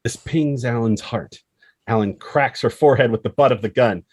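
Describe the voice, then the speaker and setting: A man with a husky voice reading dramatic screenplay stage directions as narrator. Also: mid-range voice, normal speed, a little mellow.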